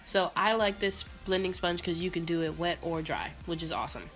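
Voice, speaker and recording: A young woman vlogger with a raspy voice and a sore throat, chatting casually through a makeup tutorial. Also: fairly low pitch, brisk, faint music behind. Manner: chatty, rambling, relaxed. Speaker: beauty vlogger.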